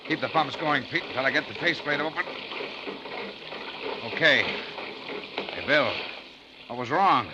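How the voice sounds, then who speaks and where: An actor playing a practical, confident shipmate on a treasure hunt, speaking in a matter-of-fact 1940s radio style. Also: male voice, medium pitch, expressive, a little slow, pauses often, lightly acted. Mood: practical, concerned. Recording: noisy old radio recording.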